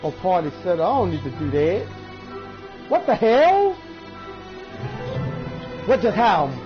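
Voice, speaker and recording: A woman's voice: fairly low pitch, sing-song, measured pace. A woman giving casual gameplay commentary, reacting in short, slangy bursts. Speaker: gamer. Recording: dull lo-fi sound. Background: game music.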